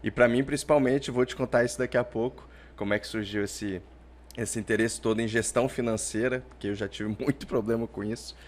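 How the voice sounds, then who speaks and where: A man talks as a guest on a podcast. He explains things at length in a chatty, easy way and often closes his points with a quick "né?". His voice sits in a middle pitch. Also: fairly even intonation. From Portugal, raised in Brazil. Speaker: podcast guest, startup founder. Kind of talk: conversational interview answers.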